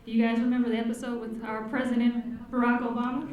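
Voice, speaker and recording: A woman's voice: a little high, little pitch movement, quick pace, a little mellow. Woman giving a formal speech in an echoey hall. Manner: formal, reads from script. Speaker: city commissioner introducing speaker. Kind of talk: prepared speech. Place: large event hall.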